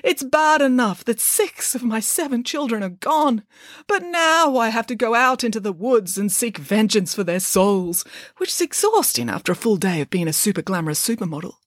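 Woman putting on a theatrical, husky mother pig. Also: mid-range voice, very animated, normal speed, no room sound, clean studio sound. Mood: aggrieved, intense. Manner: theatrical, dramatic. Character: glamorous mother pig, melodramatic, loving but firm.

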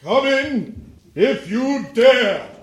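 Self-important male principal, high voice, very animated, orotund, quick to rage, vintage radio comedy. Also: background noise, exaggerated voice. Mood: testy, imperious. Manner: pompous, orotund. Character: pompous, blustering, easily enraged male school principal. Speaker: actor in a radio play. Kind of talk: old-time radio comedy acting.

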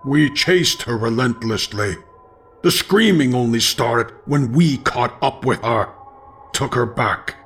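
A man voicing a sinister doppelganger in a horror audio story, calm and taunting, quiet and cold in a deliberate way. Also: mid-range voice, faint music behind, pitched above the performer's own voice. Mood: cold, menacing.

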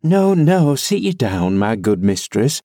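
A man's voice: mid-range voice, big pitch swings, slow pace, dark tone, no echo, clean studio sound. A man voicing a gossipy older female servant who talks in rustic dialect. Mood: fussing. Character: chatty older female servant, country-spoken.

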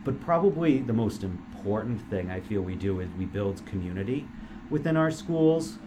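A middle-aged man, a dean, answering interview questions in an earnest, measured way with filler words. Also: lowish voice, animated. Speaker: engineering school dean. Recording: noisy room recording.

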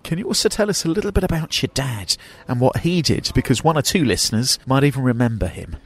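A man's voice: mid-range voice, lively intonation, quick pace, husky. A male radio host leading a friendly interview, chatty and joking lightly with his guest.

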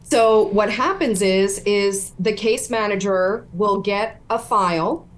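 A woman's voice: mid-range voice, slow pace. A middle-aged woman, a guest on an interview podcast, telling her story in an earnest, detailed way. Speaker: whistleblower guest.

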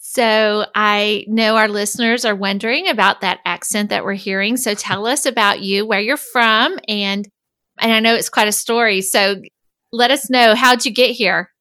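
A woman's voice: medium pitch, fairly even intonation, normal speed, sharp tone, no echo. A woman hosting a podcast as an executive coach, talking in an enthusiastic, upbeat way. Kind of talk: podcast interview.

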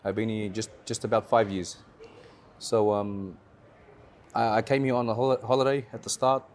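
A man, a car rental business owner, telling his story as a guest in a casual interview, animated and repetitive, with slang. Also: lowish voice, normal speed. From Australia.

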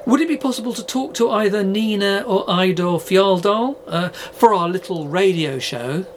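A man's voice: high voice, expressive, normal speed, a little hiss or hum. A man playing an earnest, polite university podcast host in a scripted audio drama. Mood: hesitant, polite.

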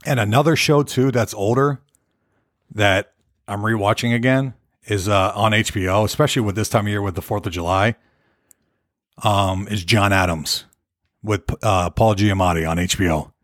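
A hoarse man hosting his own podcast, chatting loosely and rambling from topic to topic. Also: fairly low pitch, fairly even intonation, normal speed, no echo, studio quality. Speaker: podcast host. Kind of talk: casual solo podcast rambling. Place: Nashville.

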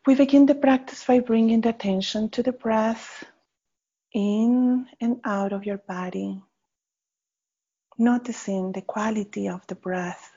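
A woman guides a meditation very slowly and gently, pausing between short phrases. Her voice is mellow and slightly husky. The recording is a little dull. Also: medium pitch. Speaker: meditation guide, faculty member. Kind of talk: guided body scan meditation. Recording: slightly dull, muffled audio.

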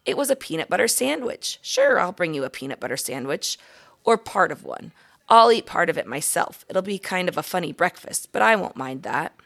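A woman's voice: fairly low pitch, big pitch swings, a little fast, vocal fry, fairly bright tone, exaggerated voice. A woman voicing a friendly, generous and slightly bossy young boy from a storybook, speaking frankly. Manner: frank, scolding but good-natured.

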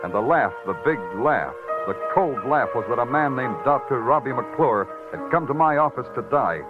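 An actor playing a world-weary police lieutenant with an American accent, in wry, hardboiled 1940s radio noir style. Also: male voice, medium pitch, animated, moderate pace, close to an everyday voice. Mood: wry, brooding. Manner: wry, dramatic, hardboiled delivery. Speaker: radio detective lead actor. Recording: dull vintage radio recording with prominent music.

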